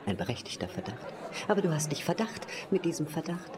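A woman's voice: low-pitched, very animated, fairly quick, crowd noise. A woman narrating an audio drama in a theatrical, wry and musing way, often slipping towards a whisper.

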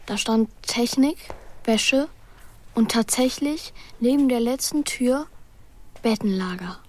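A woman's voice: fairly high pitch, lively intonation, slow. A woman playing an anxious, sceptical young boy who acts and narrates in a children's radio play, sounding hesitant. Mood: reflective narrating. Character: anxious, doubting young boy, narrating his runaway adventure.

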